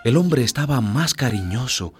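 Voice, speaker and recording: An expressive storyteller narrating a scripted radio drama. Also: mid-range voice, moderate pace, husky. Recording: music behind the voice.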